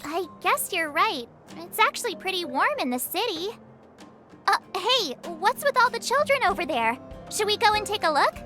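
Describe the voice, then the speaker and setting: A very high-pitched, animated teenage girl adventurer in a video game. She is curious and wide-eyed, chatting casually while music plays behind her. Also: moderate pace, heavily acted. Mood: excited, curious.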